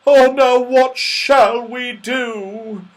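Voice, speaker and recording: A woman's voice: sits high, takes it slowly, voice pushed up, low-quality recording. A woman voicing a growly, anxious father bear in a children's storybook, with dramatic, emphatic delivery. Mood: alarmed.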